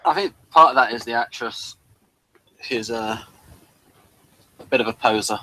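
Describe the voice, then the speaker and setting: A man's voice: medium pitch, moderate pace, sharp tone, low-quality recording. A man hosting a casual review discussion in an informal, conversational way. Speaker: host.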